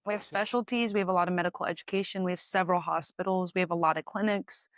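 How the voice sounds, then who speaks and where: A young woman from Texas, a student guest chatting in an interview, friendly and attentive with quick reactions. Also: lowish voice, fairly even intonation, no room sound, studio quality. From Texas, USA. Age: young adult. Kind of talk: casual interview chat.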